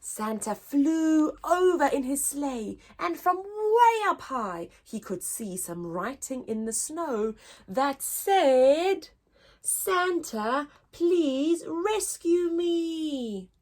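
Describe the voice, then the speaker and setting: A woman's voice: sits high, sing-song, takes it slowly, a little mellow. A woman storyteller reading a children's storybook aloud, expressively, with a sing-song rhyming delivery.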